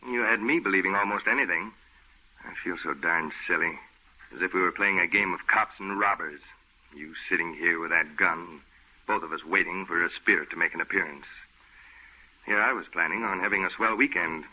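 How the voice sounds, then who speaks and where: Low-voiced, exasperated, practical friend in vintage radio drama, matter-of-fact, tinny hissy recording. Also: male voice, brisk. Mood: dismissive, weary. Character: skeptical, down-to-earth male friend, exasperated. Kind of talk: old-time radio drama acting.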